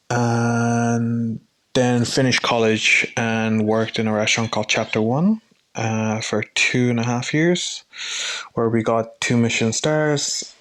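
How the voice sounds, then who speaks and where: Young guy from ireland, chef on a podcast, laid back, lots of uhs and kindas. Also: medium pitch. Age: young adult. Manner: relaxed, modest, lots of "uh" and "kinda". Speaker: chef, guest. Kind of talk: casual interview.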